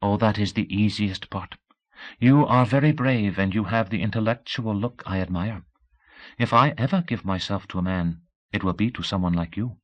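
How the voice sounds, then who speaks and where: A man's voice: fairly low pitch, normal speed, highs cut off, lightly acted. A candid young woman, as a male narrator puts her on. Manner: poised and blunt, asks pointed questions. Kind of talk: audiobook character voice.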